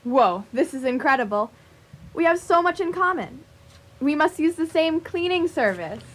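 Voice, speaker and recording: A woman's voice: high voice, animated, normal speed. A woman playing a confident, direct and flirtatious karate teacher in a radio theatre comedy, patient and probing. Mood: excited.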